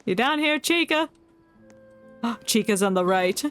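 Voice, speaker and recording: A woman's voice: fairly high pitch, animated, unhurried, a bit bright, smooth, no room sound. A woman giving casual live game commentary, giggly and chatting away to herself and to the characters in the game. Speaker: game streamer. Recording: background music under voice.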